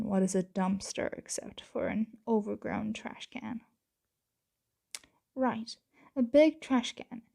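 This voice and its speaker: A woman acts out a patient, commanding female vampire in an intimate ASMR roleplay. The voice is very mellow and dark, with a gravelly creak beneath soft half-whispers. Formal phrases come slowly and deliberately, with gentle authority and measured pauses. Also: a little high, full character voice. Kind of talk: ASMR roleplay, scripted monologue to the listener.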